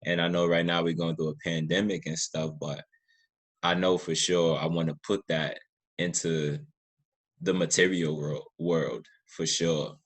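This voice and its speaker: A man's voice: low-pitched, flat delivery, a little slow, slightly rough. A man hosting a casual podcast interview, speaking in an earnest, effusive and encouraging manner. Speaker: podcast host and writer.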